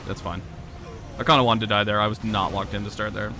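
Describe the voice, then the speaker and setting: A hoarse young man commenting as he plays a hard game live, thinking aloud, swearing and grumbling at his mistakes. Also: on the low side, steady tone, quick pace, bright, forceful tone, a little hiss or hum. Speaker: gaming streamer.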